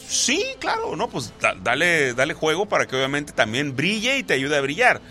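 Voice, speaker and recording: A man talks football in an animated way, his voice bright and his pitch jumping up and down. He explains things at length and keeps restarting his sentences. Faint music plays behind him. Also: moderate pace. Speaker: sports talk co-host. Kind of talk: casual football chat.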